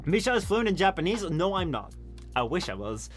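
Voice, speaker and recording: Tired young dutch guy streaming, kinda high voice, lots of likes and restarts, faint music behind. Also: sing-song, fairly quick. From Netherlands. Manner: rambling, many fillers and restarts, laughs often. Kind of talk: casual live-stream chat, reading chat.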